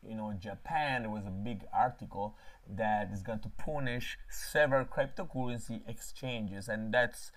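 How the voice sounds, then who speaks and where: Halting Italian-accented man streaming. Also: on the low side. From Italy. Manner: halting, frequent filler pauses. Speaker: crypto trading channel host. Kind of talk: casual live-stream market talk.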